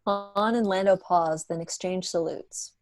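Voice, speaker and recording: A woman narrates a script's stage directions. The voice sits fairly low, and the sound is dry and close, as on a video call. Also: steady tone, a little slow, dry recording.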